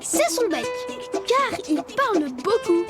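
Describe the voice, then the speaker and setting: Gentle tiny cartoon critter explaining a drawing, high, sing-song, slow. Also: female voice, sing-song, exaggerated voice. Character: friendly little cartoon creature who draws, gentle and helpful. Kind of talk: children's cartoon acting. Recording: loud music behind.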